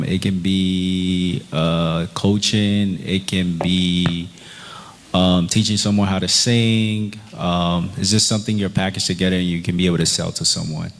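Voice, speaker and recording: Deep voiced guy from the crowd, lots of ums, echoey hall. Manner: hesitant, full of ums. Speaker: audience member.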